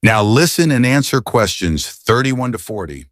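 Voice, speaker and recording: A man's voice: mid-range voice, very animated, normal speed, fairly bright tone, no room sound, clean studio sound. A man reading scripted exam instructions in a formal, neutral manner as the announcer.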